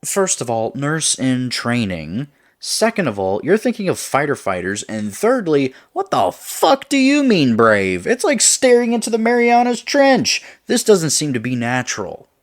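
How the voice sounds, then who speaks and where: A man's voice: mid-range voice, wildly swooping pitch, measured pace, pauses often, pitched above the performer's own voice. A man voicing a nervous, sarcastic young friend in a horror story, protesting in slangy, exasperated dialogue. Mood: exasperated, alarmed. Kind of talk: acted dialogue in horror narration.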